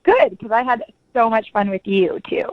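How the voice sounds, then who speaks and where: A woman's voice: on the high side, sing-song, moderate pace, no room sound. Woman on a dull, dry phone line. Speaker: person called on phone. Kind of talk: casual phone conversation.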